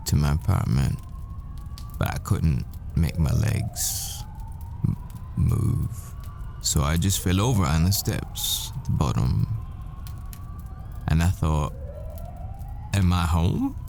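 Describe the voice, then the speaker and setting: A man playing a world-weary, foul-mouthed assassin boyfriend in a dramatic audio roleplay, brooding and deliberate in his storytelling. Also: low voice, vocal fry, dark tone, slight background noise, exaggerated voice. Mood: rueful, confessional.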